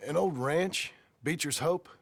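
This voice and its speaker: Raspy, plainspoken American frontier gunman. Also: fairly high pitch, no echo. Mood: humble, hesitant. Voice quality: raspy, gravelly. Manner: plainspoken, halting, folksy. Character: rough, humble frontier gunman turned struggling farmer. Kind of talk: cinematic western game acting.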